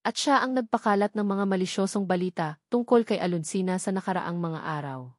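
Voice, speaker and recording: A woman's voice: medium pitch, a little fast, no echo, clean studio sound, natural voice. Woman reading as a bitter, determined grown son. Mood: bitter, accusing. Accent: Filipino. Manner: firm, accusing. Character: bitter, determined adult son confronting his tycoon father. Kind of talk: storybook drama narration.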